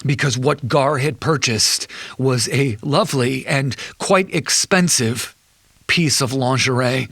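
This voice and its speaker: Betrayed husband, mid-range voice, bitter and measured, audio drama monologue, close dry sound. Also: male voice, medium pitch, vocal fry, fairly bright tone, no room sound, full character voice. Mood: resigned, wry. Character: betrayed husband, an accountant recounting his wife's affair.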